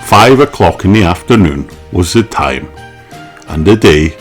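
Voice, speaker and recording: Slow, deep-voiced man reading a children's story. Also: animated, faint music behind. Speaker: storybook reader. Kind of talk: expressive children's story read-aloud.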